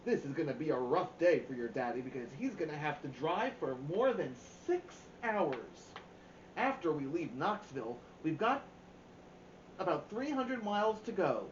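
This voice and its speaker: A man voicing a brisk, organized mother who announces road-trip plans with emphasis in a storybook. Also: a little high. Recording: roomy, dull, faint background noise.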